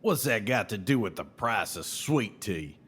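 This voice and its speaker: A man's voice: fairly low pitch, sing-song, unhurried, a bit bright, husky, exaggerated voice. Brash Southern salesman, big swooping delivery. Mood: cocky, mocking. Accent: American South. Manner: folksy, colourful drawling idioms, needling. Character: brash, folksy Southern salesman, sly and aggrieved. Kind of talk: scripted audio drama acting.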